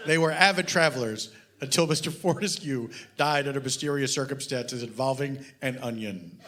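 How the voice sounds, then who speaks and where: A man giving a deadpan, pompous, mock-serious story reading at a live comedy show. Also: medium pitch, normal speed, crowd noise.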